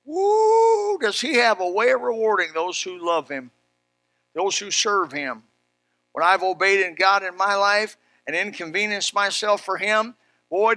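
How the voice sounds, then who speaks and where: A man preaching an animated sermon in a folksy, emphatic manner, exclaiming and repeating phrases as he tells stories. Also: high voice, dry recording. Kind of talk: animated Bible sermon with personal anecdotes.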